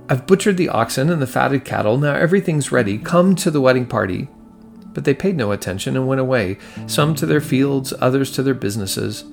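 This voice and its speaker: A man's voice: mid-range voice, gravelly. A man reading a gospel passage aloud from a script as a scripture reader.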